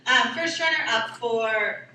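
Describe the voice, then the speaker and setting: Bright, higher-voiced woman presenter, conversational, heard far off in an echoey hall. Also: slow pace, a little hiss or hum. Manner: conversational, with fillers. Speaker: school district presenter. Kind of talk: public presentation.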